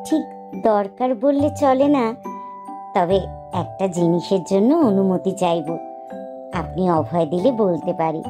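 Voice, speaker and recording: A woman's voice: medium pitch, unhurried, long pauses, lightly acted. Woman voicing a polite mother duck. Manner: polite, deferential. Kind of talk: cartoon voice acting. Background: background music.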